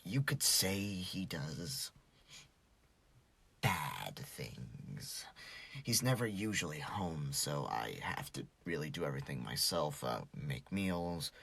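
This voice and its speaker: Man acting a husky, halting troubled teenage boy. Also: rumbling low, big pitch swings, a bit bright, full character voice. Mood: subdued, halting. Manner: halting, stammering, dropping into breathy murmurs. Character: troubled, jokey teenage boy hiding pain behind mischief. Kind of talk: audio drama acting.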